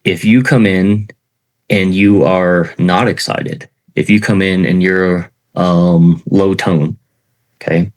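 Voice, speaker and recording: A middle-aged man teaching sales in an informal talk, in a self-described monotone, with many fillers and "okay" check-ins. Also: sits low, slow, poor audio. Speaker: sales trainer.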